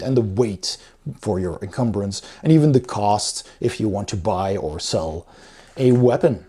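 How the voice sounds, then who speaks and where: A man's voice: on the low side, warm tone. A man giving a casual, unscripted review as host, with an informal, enthusiastic way of talking. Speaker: board game reviewer, host.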